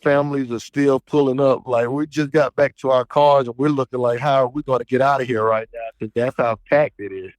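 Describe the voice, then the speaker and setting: A man's voice: mid-range voice, steady tone, fairly quick, few pauses, no room sound. Trucker guest, man in his 30s, rambling easygoing delivery, dry sound. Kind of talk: casual phone interview. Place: outdoors at a trick-or-treat event.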